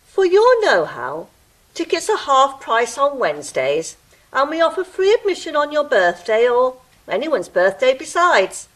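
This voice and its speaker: A woman's voice: high voice, sing-song, a little slow, fairly bright tone, exaggerated voice, low-quality recording. A woman playing a fussy, pompous ticket-eating gatekeeper creature in an audio drama, with pedantic, theatrical delivery. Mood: officious, warning. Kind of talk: stage-style audio drama acting.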